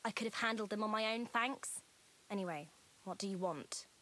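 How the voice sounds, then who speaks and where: A woman's voice: medium pitch, moderate pace, pushed and bright, natural voice. An actress playing a rebellious young woman, a brash, defiant drone racer in a video game. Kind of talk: video game cutscene acting.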